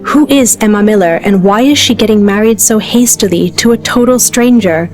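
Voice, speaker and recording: Female narrator, medium pitch, dramatic story read, clear music behind her. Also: moderate pace, darkish tone.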